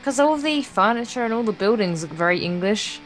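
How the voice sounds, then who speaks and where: A woman's voice: medium pitch, animated, brisk, soft background music. A young woman co-hosting a let's play, reading visual novel text aloud with playful, casual banter.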